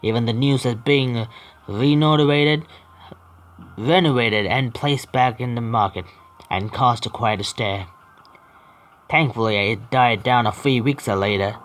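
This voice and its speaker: A man hosting a gaming video, reading story text aloud haltingly with stumbles and adding casual asides. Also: mid-range voice, fairly bright tone. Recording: faint game music behind. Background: faint video game music.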